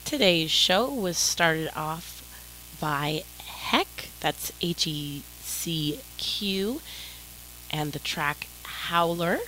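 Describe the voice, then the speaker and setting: A woman's voice: on the low side, animated, drawn-out words, bright, forceful tone, rough recording. A woman hosting her own music radio show, talking casually and a little rambling, with a stuffed-up nose from a cold and static on her home mic. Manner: rambling, apologetic, self-deprecating. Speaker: radio DJ host. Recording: static-filled home mic with faint noise.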